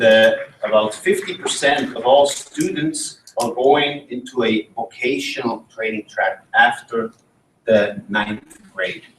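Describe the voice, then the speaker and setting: A man from Switzerland gives an academic talk at a conference and turns to the audience with questions. His voice sits at an ordinary middle pitch. It is picked up from some distance in a roomy, echoing hall. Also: fairly bright tone, slight background noise. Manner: engaging, asks the audience questions. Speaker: conference presenter. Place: conference room.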